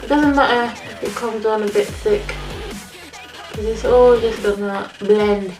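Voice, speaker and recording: A woman's voice: medium pitch, fairly even intonation, measured pace. A woman vlogger talking casually through her makeup routine, sounding nasal from a cold. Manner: chatty, talks while applying makeup. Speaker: beauty vlogger. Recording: echoey room with music behind.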